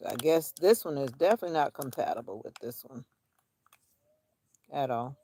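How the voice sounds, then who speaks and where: A woman's voice: extremely low pitch, expressive, normal speed, warm tone, a little hiss or hum. A woman vlogging casually outdoors, musing in a rambling way to herself and her viewers. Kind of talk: casual outdoor vlog chat. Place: outdoors on a nature walk.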